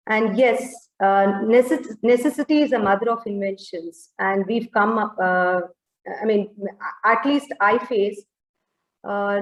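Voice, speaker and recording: A woman with an Indian accent speaking off the cuff as an education panelist, in a halting way with frequent self-corrections. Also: medium pitch, muffled recording. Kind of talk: extempore panel talk on education. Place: indoor room, online webinar. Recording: roomy, echoing online call audio.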